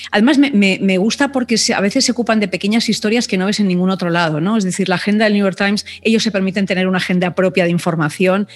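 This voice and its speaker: A middle-aged woman from Spain, a TV news correspondent, talking in a relaxed interview and thinking aloud as she answers. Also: fairly low pitch. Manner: thoughtful, reflective, many restarts and fillers.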